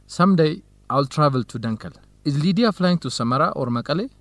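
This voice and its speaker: An actor playing a kind, helpful young student researcher, speaking carefully and formally in a radio drama for English learners. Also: male voice, a little high, expressive, fast talker, dry recording. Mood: matter-of-fact. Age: young adult.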